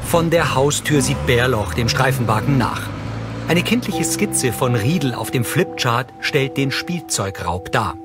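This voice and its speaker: A man's voice: mid-range voice, lively intonation, a little slow. Neutral male narrator over music. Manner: neutral, factual. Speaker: audio description narrator. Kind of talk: scripted narration in present tense. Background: music and show soundtrack.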